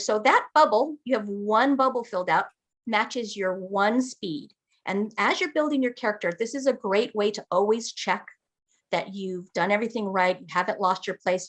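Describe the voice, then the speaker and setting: A woman acting as game master, patiently walking a player through character creation and often checking that they follow. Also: mid-range voice. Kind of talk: explaining game rules.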